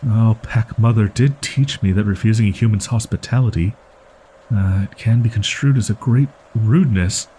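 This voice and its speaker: A man's voice: lowish voice, normal speed, mellow, slight background noise, natural voice, rough recording. A man voicing a proud, easily flustered young wolf-boy in a roleplay audio drama, with stilted archaic diction and defensive stammering. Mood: exasperated, flustered. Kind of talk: roleplay audio drama monologue addressed to listener.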